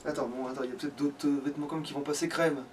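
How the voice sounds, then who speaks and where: High-pitched male let's player, sweary and rambling, off-mic with some background noise. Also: fairly even intonation, very fast, far from the mic. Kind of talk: casual gameplay chat.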